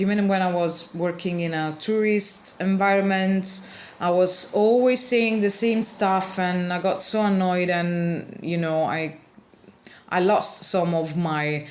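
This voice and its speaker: Slow, chatty woman with a non-native accent. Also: fairly low pitch, slight background noise. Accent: non-native English. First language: not English. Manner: chatty, apologetic, searching for words. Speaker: card-altering artist. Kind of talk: casual tutorial talk.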